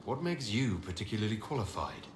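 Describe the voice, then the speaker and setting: Low, composed male commander, echoey. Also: very animated, fairly quick, noisy background. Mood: businesslike. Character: resolute, courteous male leader of an inquisition. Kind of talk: scripted video game dialogue.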